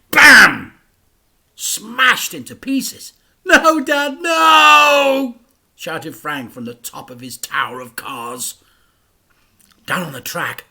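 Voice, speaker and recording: A man, a teacher, reading a children's story aloud, shifting between hushed whispers and loud acted-out crash sounds. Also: on the high side, creaky, slightly rough. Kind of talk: dramatic storybook read-aloud.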